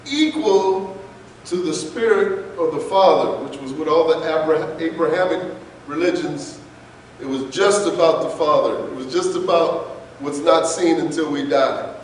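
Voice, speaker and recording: A man's voice: fairly high pitch, unhurried, a little hiss or hum. Impassioned man in an echoey hall. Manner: impassioned, emphatic, asks rhetorical questions. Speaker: guest speaker. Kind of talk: lecture to an audience. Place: large lecture room. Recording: echoey hall recorded from far off.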